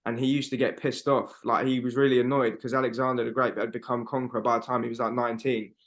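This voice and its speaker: A man's voice: mid-range voice, flat delivery, fast. A man, co-hosting a podcast over a video call from home, rambling emphatically and swearing freely. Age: 20s. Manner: rambling, emphatic, swears a lot, fillers like "bro". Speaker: podcast co-host. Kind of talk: casual chat.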